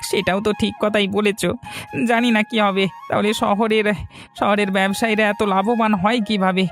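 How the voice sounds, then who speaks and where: A man's voice: high voice, flat delivery, moderate pace, a bit bright, soft background music. A man voicing an earnest, anxious younger-brother farmer in a fairy-tale cartoon.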